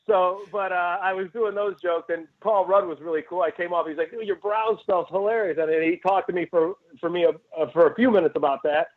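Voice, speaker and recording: A comedian in his forties from Cleveland, telling rambling, animated stories in a casual interview over a remote call. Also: high-pitched, brisk, hardly any pauses. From Cleveland, Ohio. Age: 40s. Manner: rambling, animated storyteller who jumps between asides. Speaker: stand-up comedian guest. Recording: tinny phone-like call audio.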